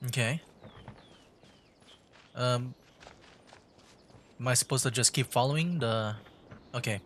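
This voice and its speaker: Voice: mid-range voice, takes it slowly, fairly bright tone, slight background noise. A streamer giving casual livestream commentary while playing a game, thinking aloud in a conversational way. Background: game music.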